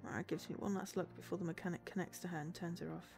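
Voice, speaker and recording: A woman's voice: lowish voice, steady tone, racing, gravelly. A woman streamer reading a visual novel aloud as dramatic narration. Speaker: game streamer. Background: game music.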